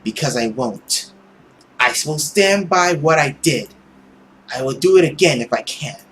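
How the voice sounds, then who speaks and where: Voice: medium pitch, expressive, a little slow, fairly bright tone, slight background noise. A performer voicing a resolute, sorrowful woman servant pleading with her master in a dramatic visual novel reading. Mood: defiant.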